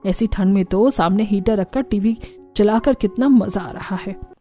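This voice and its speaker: A woman's voice: mid-range voice, normal speed, mellow, faint music behind, lightly acted. A woman voicing a bossy older mother-in-law who relishes her food, in a cartoon story, speaking in commands. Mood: relishing.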